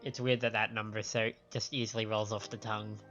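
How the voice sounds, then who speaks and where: A man's voice: on the low side, flat delivery, a little fast, a bit bright, highs cut off. A man hosting a live game stream with casual, joking, chatty commentary. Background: faint game music.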